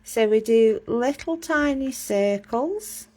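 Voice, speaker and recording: A woman's voice: on the high side, expressive, slow, darkish tone. A woman giving a casual live drawing lesson, chatty and self-mocking as she talks through each step while drawing. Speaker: drawing teacher.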